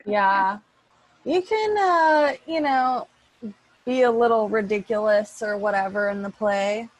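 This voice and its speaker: A woman's voice: mid-range voice, takes it slowly, no room sound, rough recording. A woman chatting casually as the host organising a group recording from her room at home. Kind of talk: casual chat before a recording. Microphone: small personal microphone on a group call.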